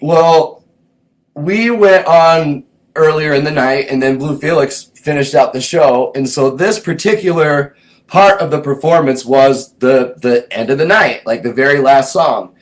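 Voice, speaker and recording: A man's voice: fairly high pitch, measured pace, rough recording. A man hosting a show, casually recounting his own past in a laid-back, meandering way, thinking aloud as he goes. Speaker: host recounting his music career. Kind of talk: casual personal storytelling.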